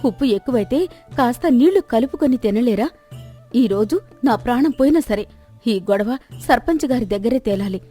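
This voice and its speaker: A woman voicing a village wife who scolds and argues quickly in a fairly high voice. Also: lively intonation, warm tone, soft background music. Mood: scolding. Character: sharp-tongued village wife, defending her cooking.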